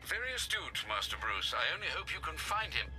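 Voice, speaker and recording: An actor playing a dutiful, concerned butler in a video game, formal and courteous. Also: male voice, a little high, sing-song, fairly quick, bright, forceful tone, faint music behind, heavily acted, poor audio. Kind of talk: scripted video game acting.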